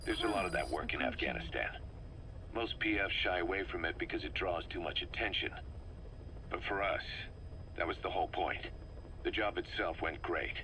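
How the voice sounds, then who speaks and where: An actor playing a grim, embittered mercenary commander, recounting things with intense deliberation in a dramatic video game style. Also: male voice, deep voice, moderate pace, bright, forceful tone, poor audio. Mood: grim, haunted. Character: embittered, driven male mercenary commander briefing his boss. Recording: faint noise, cassette tape recording.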